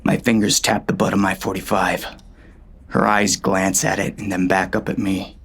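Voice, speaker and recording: A man playing a gruff, bitter gunslinger who tells his own story in terse, folksy western talk, as dramatic audiobook narration. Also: deep voice, flat delivery, normal speed, a bit bright, exaggerated voice. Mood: bitter, tense. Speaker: audiobook narrator and lead actor.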